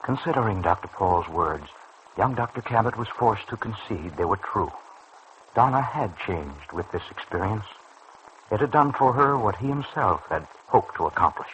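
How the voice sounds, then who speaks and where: A man's voice: fairly low pitch, animated, normal speed, a bit bright. A man reading scripted narration for a vintage radio soap opera. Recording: noisy old radio drama recording.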